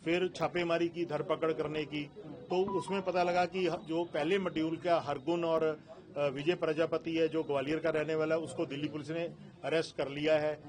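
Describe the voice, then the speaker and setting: A male police officer gives a press briefing in a somewhat high-pitched voice with a Punjabi accent. He explains details haltingly, mixing in English terms as he goes. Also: flat delivery, darkish tone.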